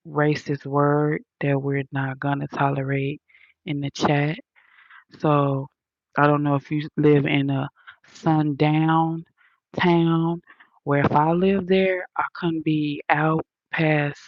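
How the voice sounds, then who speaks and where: A woman's voice: deep voice, vocal fry, dry recording, highs cut off. A woman with a Southern accent hosting a livestream, lecturing her chat in an indignant, emphatic way. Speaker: livestream host reading chat. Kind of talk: casual livestream rant.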